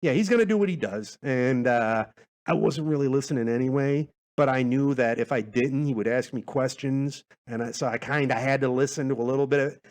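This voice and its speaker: A man's voice: medium pitch, a little fast, a little mellow. A man hosting a podcast, chatting casually in a laid-back, informal, rambling way. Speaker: podcast host. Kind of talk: casual rambling solo podcast sign-off chat.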